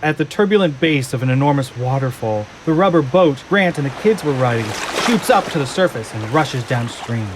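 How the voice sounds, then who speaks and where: A man narrating a scripted audio drama in a measured, descriptive manner. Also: medium pitch, animated, over music.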